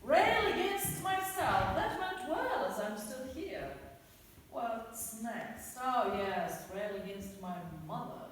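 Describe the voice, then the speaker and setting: A woman's voice: fairly high pitch, expressive, distant mic, background noise. A woman playing a giggly bumbling Hamlet, echoey hall. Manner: laughs at own jokes, slow and drawn out. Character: bumbling comic male prince Hamlet, chuckling and self-satisfied. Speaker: amateur stage actor. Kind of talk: comic radio play staged live. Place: theatre stage. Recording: echoey hall, picked up from afar.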